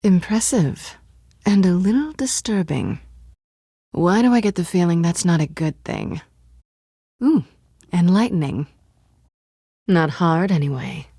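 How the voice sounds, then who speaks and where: A husky lowish cool cat burglar lady for a game, sly n teasing, super dry close sound. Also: lively intonation, unhurried, pauses often, warm tone, no echo, heavily acted. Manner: sardonic, teasing, understated. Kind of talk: naturalistic video game dialogue acting.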